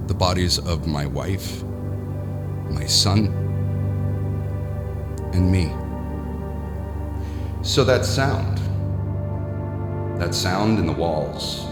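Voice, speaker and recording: A man's voice: low voice, expressive, drawn-out words, pauses often, loud music behind the voice, exaggerated voice. Rattled rich businessman in a horror radio play, super dramatic, voice swinging up and down. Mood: haunted. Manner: theatrical, haunted, dramatic. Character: powerful businessman trapped in a stairwell, unravelling in dread. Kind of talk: horror audio drama, first-person narration and monologue.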